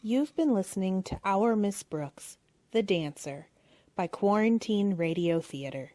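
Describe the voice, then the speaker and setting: A woman's voice: mid-range voice, lively intonation, unhurried, mellow. A woman reading a scripted credits announcement as the announcer of a radio play.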